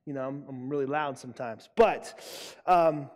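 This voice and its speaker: A young man preaching a sermon to students, emphatic and loud, repeating his key lines. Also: mid-range voice, normal speed. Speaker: youth pastor. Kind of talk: youth sermon. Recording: long hall reverb.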